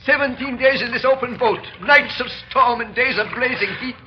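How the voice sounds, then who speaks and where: A strikingly high male voice plays a castaway adrift at sea. He sounds hopeless and declamatory, on a noisy, muffled old radio recording. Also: moderate pace. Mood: despairing. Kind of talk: old-time radio drama acting.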